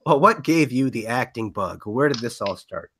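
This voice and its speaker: Nasal, hesitant podcast host with a cold. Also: male voice, mid-range voice, animated, moderate pace, a bit bright, slight background noise. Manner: hesitant, filler-heavy, giggly. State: getting over a cold. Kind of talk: casual podcast interview.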